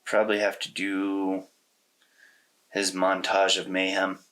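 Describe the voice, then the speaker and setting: A man's voice: low voice, monotone, takes it slowly, fairly bright tone, low-quality recording. A man co-hosting a casual discussion about films, talking in an informal, slangy way. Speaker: podcast co-host. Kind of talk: casual conversational movie discussion.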